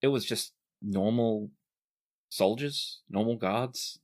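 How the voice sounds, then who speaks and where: A man's voice: on the low side, takes it slowly. A man running a tabletop game as game master, narrating and speaking in quotes for the people his players meet. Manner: plays non-player characters in quoted lines.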